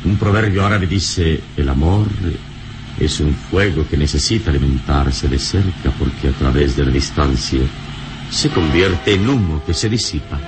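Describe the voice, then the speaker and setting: An actor playing a serene, gallant adventure hero in an old radio serial, speaking in an unhurried, courtly, philosophical way. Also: male voice, rumbling low, animated, background noise. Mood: gallant, ironic.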